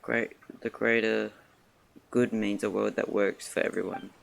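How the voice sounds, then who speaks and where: A man streaming a video game casually, muttering in a subdued way and halting as he reads aloud. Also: fairly low pitch, flat delivery, vocal fry, a little hiss or hum. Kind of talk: casual live-stream muttering while playing.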